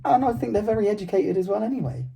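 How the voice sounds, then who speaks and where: A man co-hosting a casual football chat, chatty and playful, with a voice he calls high-pitched. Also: very fast, creaky, mellow, breathy edge. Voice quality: says he has a high-pitched voice. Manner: chatty, playful, argues back easily. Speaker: podcast co-host.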